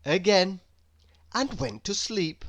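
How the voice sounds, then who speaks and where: A woman reading a children's story aloud as an expressive, unhurried storyteller. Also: mid-range voice. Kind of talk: children's read-aloud narration.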